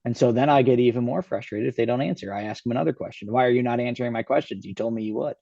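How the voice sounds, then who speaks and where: A man from Michigan, a guest basketball coach, explaining his approach calmly and agreeably. His mid-pitched voice comes through slightly muffled and dull. The sound is dry, with no room echo. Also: racing, vocal fry. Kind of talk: casual podcast chat.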